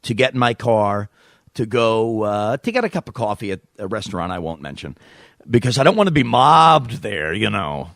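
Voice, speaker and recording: A man's voice: lowish voice, normal speed, no room sound, studio quality. A middle-aged man hosting a podcast, telling stories in a casual chat and acting out the voices of the people in them. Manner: animated storyteller, acts out quotes. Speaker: podcast host.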